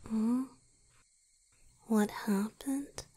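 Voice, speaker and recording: A woman plays a tender young vampire boyfriend in an intimate ASMR roleplay. The voice is a husky, breathy whisper, fairly high and unhurried, with soft, halting, apologetic delivery. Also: steady tone, full character voice, rough recording. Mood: sheepish, weary.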